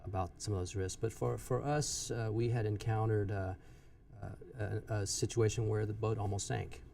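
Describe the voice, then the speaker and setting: Gravelly, mellow-voiced man from Vietnam. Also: lowish voice, fairly even intonation, recorded from a distance. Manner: hesitant, many "uh" pauses. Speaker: bank manager, guest. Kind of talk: interview answers.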